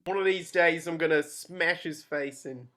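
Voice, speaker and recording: A woman reading the part of a cocky young working-class lad, with a flat, offhand delivery, in an amateur table read over a video call. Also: low voice, normal speed. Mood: defiant.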